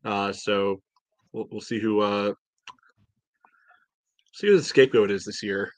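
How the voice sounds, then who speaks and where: A man's voice: lowish voice, unhurried, no echo. A man giving casual sports opinions as a podcast panelist, blunt and wry.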